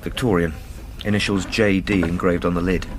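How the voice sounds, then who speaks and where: An actor playing a deferential, gently teasing police sergeant in a radio drama. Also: male voice, deep voice, steady tone, normal speed, close to an everyday voice. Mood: pleased. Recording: clearly noisy background.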